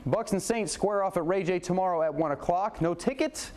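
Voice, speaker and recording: High-voiced man anchoring TV sports highlights. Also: a little fast, a little mellow, slight background noise. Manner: punchy, colloquial, exclamatory. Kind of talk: highlights commentary and scripted sportscast. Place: TV studio, voice-over to highlights.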